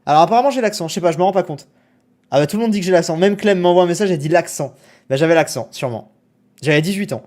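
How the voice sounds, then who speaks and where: Animated man reacting on a live stream. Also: high-pitched, racing. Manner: animated, self-mocking, exclamatory.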